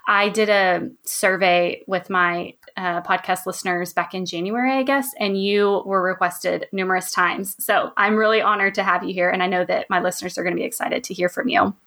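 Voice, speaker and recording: A woman's voice: medium pitch, steady tone, moderate pace, few pauses, bright, forceful tone. Chatty woman hosting a podcast. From Texas. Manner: upbeat, chatty, says y'all. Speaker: podcast host. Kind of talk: podcast intro and interview.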